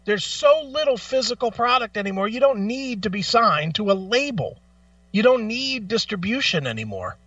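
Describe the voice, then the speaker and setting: A man's voice: sits high, very animated, moderate pace, fairly bright tone, dry recording, recorded from a distance, lo-fi sound. A man hosting a talk show with blunt, emphatic, opinionated commentary, casual in style and repeating phrases for stress.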